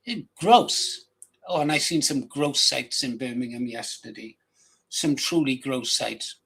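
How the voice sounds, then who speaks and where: A man's voice: medium pitch, unhurried, gravelly, a bit bright, husky. An older man with a Welsh accent, hosting his own channel in a blunt, rambling casual monologue. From England, raised in Wales from age ten. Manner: blunt, crude, rambling with restarts. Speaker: channel host.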